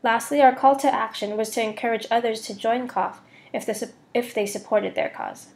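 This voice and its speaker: A woman's voice: fairly high pitch, steady tone, normal speed, vocal fry, a little mellow. A young woman student reading a scripted voice-over for a slide presentation. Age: young adult.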